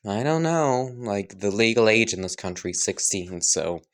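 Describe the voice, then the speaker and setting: A bossy middle-aged mother with a crude mouth who yells at full volume, as a man puts her on. The voice jumps high and loud against a plain speaking voice, full of swearing and scolding. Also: expressive, moderate pace. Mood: shrugging. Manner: yelling, swearing.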